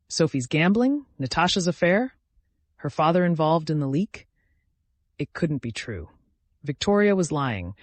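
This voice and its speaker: A man's voice: medium pitch, no echo. A man narrating a scripted thriller audiobook in a dramatic storytelling style.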